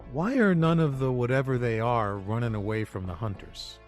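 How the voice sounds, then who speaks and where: A man's voice: medium pitch, moderate pace, darkish tone, soft background music, close to an everyday voice. Actor as inquisitive, wry man researcher. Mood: intrigued, wry. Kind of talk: audio drama acting.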